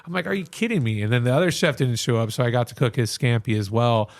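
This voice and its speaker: A man's voice: medium pitch, fast, no room sound. A man who is a chef and restaurant owner, telling stories animatedly in a casual interview. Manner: animated storyteller, many restarts.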